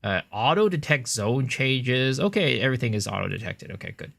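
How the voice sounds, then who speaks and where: Chatty American streamer with a lively voice. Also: male voice, medium pitch, fairly quick, vocal fry. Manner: chatty, thanks viewers with big excited bursts. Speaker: livestreamer. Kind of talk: casual gameplay commentary.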